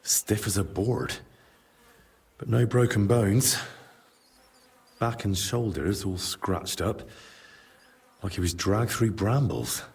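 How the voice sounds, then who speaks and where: Husky, low-voiced young male investigator. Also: darkish tone, full character voice. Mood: pensive, observant. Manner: measured, probing, matter-of-fact. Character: young male investigator, blunt, probing, wry. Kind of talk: video game cutscene acting.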